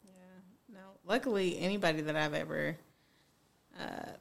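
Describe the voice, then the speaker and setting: A woman with a deep voice, co-hosting a podcast and chatting in a teasing, blunt, slangy way. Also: moderate pace, vocal fry. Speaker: podcast co-host. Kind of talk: casual chat.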